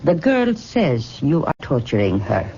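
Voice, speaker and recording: A slow, guarded servant. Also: female voice, rumbling low, huge pitch swings, creaky, mellow, heavily acted. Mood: devoted. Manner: deferential, guarded, measured. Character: loyal, evasive, deferential woman servant to a professor. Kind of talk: old-time radio drama acting. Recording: muffled, noisy old radio recording.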